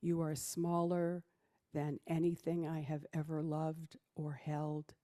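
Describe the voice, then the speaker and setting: A soft-spoken woman reads a poem at a worship service, taking her time with each line. Her voice sits low and mellow, gentle rather than projected. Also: completely flat delivery. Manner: gentle, unhurried reading. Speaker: worship reader. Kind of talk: reading a poem aloud in a service.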